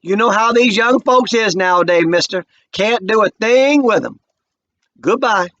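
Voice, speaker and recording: A man's voice: high voice, lively intonation, a little slow, a bit bright, highs cut off, pitched above the performer's own voice, full character voice. A man reading aloud and voicing an older mother of a family who gives folksy, scolding orders to everyone. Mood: dismissive. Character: older woman, family matriarch, bossy and warm.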